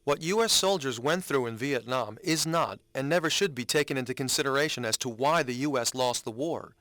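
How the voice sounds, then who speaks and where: A man's voice: medium pitch, a little fast, fairly bright tone, dry recording, close to an everyday voice. A man giving a dramatic reading as a blunt, indignant critic of a war. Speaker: reader. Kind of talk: dramatic reading of written commentaries.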